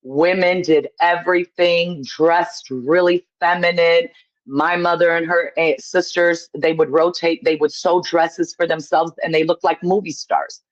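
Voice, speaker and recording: A woman's voice: fairly low pitch, fairly even intonation, unhurried, lo-fi sound. A woman from Poland, chatting casually as an opinionated call-in guest, emphatic and repeating herself to make a point.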